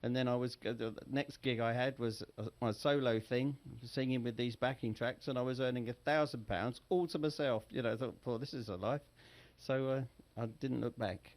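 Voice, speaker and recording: A middle-aged English man with an English accent, a singer telling relaxed, chatty stories as an interview guest. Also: medium pitch, moderate pace, few pauses. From England. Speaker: guest, Sinatra tribute singer. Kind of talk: casual interview storytelling.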